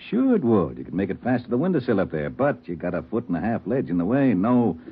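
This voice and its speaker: An actor playing a gruff, practical fire chief in a 1940s radio drama, plainspoken and assured. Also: male voice, on the low side, very animated, fast. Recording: tinny old radio recording.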